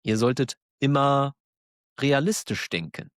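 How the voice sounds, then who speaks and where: A man with a German accent, hosting a podcast for learners, talking slowly and clearly in a warm, encouraging way and laughing now and then. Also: medium pitch, dry recording, studio quality. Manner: encouraging, self-deprecating, laughs often. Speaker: German teacher, podcast host. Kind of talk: slow, clear monologue for learners.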